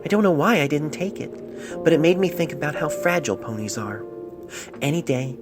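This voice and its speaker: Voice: medium pitch, animated, a little fast, over music, pitched above the performer's own voice, natural voice. An amateur narrator voicing a loving, sentimental pony reading out a love letter earnestly and tenderly, recorded on a phone. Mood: wistful, devoted.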